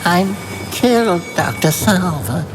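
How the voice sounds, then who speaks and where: A woman playing a devoted, self-sacrificing servant in a melodramatic audio drama, crying out in pleading, drawn-out words. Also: lowish voice, lively intonation, loud music behind the voice, low-quality recording. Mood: desperate, pleading.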